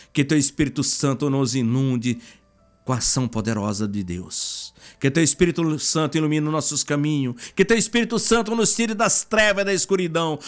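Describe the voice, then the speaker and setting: A Brazilian man with a notably high voice leads an extemporaneous prayer. He pleads fervently, punctuating his phrases with repeated invocations. Music plays behind him. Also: a bit bright.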